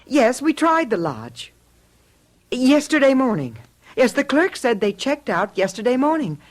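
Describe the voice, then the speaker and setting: An actress playing an anxious, hesitant wife in a radio drama, speaking in a halting, emotional way. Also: female voice, sits high, sing-song, full character voice. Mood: halting, distressed. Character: anxious, hesitant wife worried about missing neighbours. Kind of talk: old-fashioned radio drama acting.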